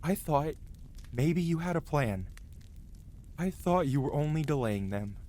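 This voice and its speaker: A man's voice: a little high, animated, measured pace. Man acting a stern scolding dragon, noisy recording. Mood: hurt, reproachful. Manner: stern, emotional, dramatic scolding. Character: tsundere male dragon mount, angry, scolding yet protective of rider. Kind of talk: fantasy ASMR roleplay monologue.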